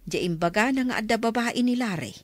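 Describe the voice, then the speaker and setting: A woman's voice: fairly high pitch, expressive, brisk, dry recording. Woman acting a concerned mother, dry close voice. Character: concerned mother counselling a quarrelling married couple. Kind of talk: Ilocano radio drama acting.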